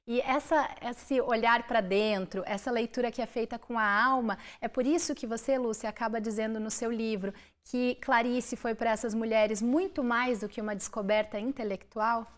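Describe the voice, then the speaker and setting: A woman interviewer who frames long, careful questions for her guests, lifting her voice to stress key words. Her voice sits a little high. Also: fairly even intonation, normal speed, few pauses. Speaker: interviewer host.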